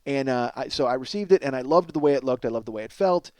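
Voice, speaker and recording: A man's voice: medium pitch, expressive, fast, darkish tone, no room sound, studio quality. A man in his forties hosting a comedy podcast, rambling through casual stories in a profane, self-deprecating, emphatic way. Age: 40s. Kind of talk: rambling comic monologue, casual storytelling.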